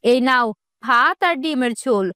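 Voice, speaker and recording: A practical village wife from a fairy-tale cartoon, played by a woman. Her voice is high, and her homely talk has a mildly chiding edge. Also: normal speed, pushed and bright, clear, resonant tone, dry recording, studio quality. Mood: coaxing.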